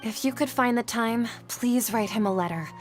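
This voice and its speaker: Earnest polite young desert woman from a game, actress doing it, highish voice, music behind. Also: moderate pace, close to an everyday voice. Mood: friendly, concerned. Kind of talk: video game dialogue acting.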